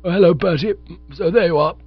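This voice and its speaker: A man voicing an excitable, scatterbrained young gentleman who chatters eagerly and breathlessly. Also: sits high, fast, husky, no echo, soft background music, voice pushed up. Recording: dull lo-fi recording with mains hum.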